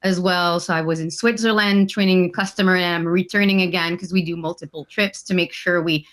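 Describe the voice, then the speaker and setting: A woman's voice: fairly low pitch, fairly even intonation, fairly bright tone. Hesitant woman on a video call. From French Canada. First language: French. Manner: hesitant, many "uh" and "um" pauses. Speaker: guest. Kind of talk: casual interview answers.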